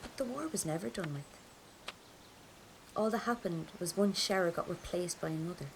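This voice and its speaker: A woman's voice: fairly low pitch, normal speed, slight background noise, close to an everyday voice. An actress playing a grieving, stubborn Irish woman from a 1920s rebel family, telling her story plainly and with restraint, in an Irish accent. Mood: restrained grief. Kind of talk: first-person audio drama monologue.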